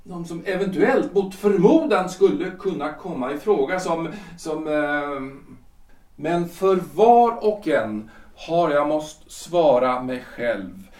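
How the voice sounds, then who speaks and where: An actor playing an anxious, self-important lawyer husband in his forties in a period audio drama, halting and repeating himself. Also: on the high side, animated, darkish tone, far from the mic, lightly acted. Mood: hesitant, confessional. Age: 40s. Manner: hesitant, halting, repeating words and phrases. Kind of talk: period stage-play audio drama acting. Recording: roomy echo, off the mic.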